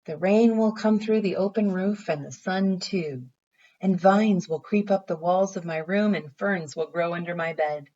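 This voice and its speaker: A woman's voice: fairly low pitch, a little slow, mellow, lo-fi sound. A woman voicing an eager, imaginative young boy while reading a bedtime story aloud. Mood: dreamy, assured. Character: young boy, eager, imaginative, earnest about his plans.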